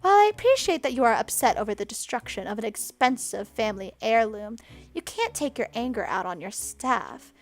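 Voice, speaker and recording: A woman voicing a calm, poised maid who speaks smoothly and gently scolds. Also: on the high side, brisk. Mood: soothing. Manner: smooth, soothing, genteel.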